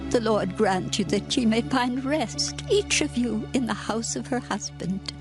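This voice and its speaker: A woman's voice: a little high, measured pace, lightly acted. An actress playing a sorrowful, bitter older widowed mother-in-law in a dramatized scripture reading, speaking in earnest, solemn archaic phrases. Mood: tender. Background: music.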